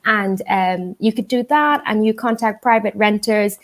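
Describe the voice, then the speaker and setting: Woman from the Bahamas, casual panel guest, chatty step-by-step explainer, mid-pitched, video call. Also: a little slow. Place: home in Scotland, video call.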